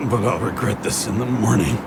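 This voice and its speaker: An actor playing a world-weary, sardonic, hard-boiled detective in a futuristic noir video game. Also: male voice, on the low side, a little fast, creaky, heavy background noise. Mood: brooding, wry. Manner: sardonic, brooding. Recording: loud music behind.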